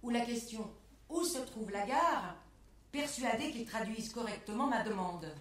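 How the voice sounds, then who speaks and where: A woman delivering a scripted spoken exposé in a measured, carefully enunciated way, setting phrases apart like quotations. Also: fairly high pitch, animated, recorded from a distance. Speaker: performer in a spoken-word performance lecture. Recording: echoey room, picked up from afar.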